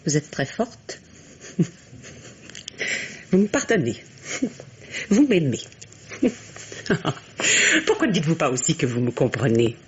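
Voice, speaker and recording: Bitter wife, dull noisy recording. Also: female voice, sits low, sing-song, takes it slowly, long pauses, a little mellow, full character voice. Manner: biting, sardonic, dramatic. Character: bitter, spiteful wife, hateful and interrogating. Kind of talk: French radio drama acting.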